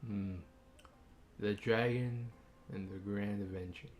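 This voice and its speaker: A man's voice: lowish voice, steady tone, takes it slowly, a little mellow. A man streaming a game, talking casually over the gameplay in an offhand way.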